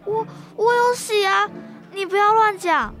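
A very high, girlish voice plays an earnest schoolgirl in a radio drama. She speaks slowly and reassuringly, with clear music behind her. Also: fairly bright tone, heavily acted. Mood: protesting. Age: child or teenage student.

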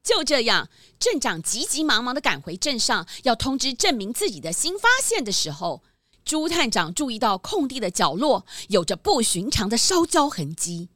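A woman's voice: a little high, sing-song, normal speed, a bit bright. A woman narrator telling a children's detective story in a dramatic, scripted style.

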